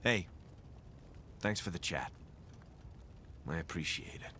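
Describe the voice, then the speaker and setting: An actor playing a wry, wounded wasteland companion in a video game, speaking in a casual, hesitant way. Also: male voice, low-pitched, lively intonation, slow pace, sharp tone, slight background noise, exaggerated voice. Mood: wistful, reflective.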